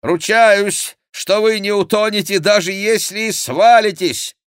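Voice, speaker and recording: A man voicing a brisk Gascon horseman in an audiobook, giving crisp orders with unruffled mockery. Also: a little high, sing-song, a bit bright, dry recording, studio quality, pitched above the performer's own voice. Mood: coolly teasing. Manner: crisp commands, unruffled and mocking. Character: brisk, teasing Gascon horseman, coolly commanding. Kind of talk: audiobook character voice.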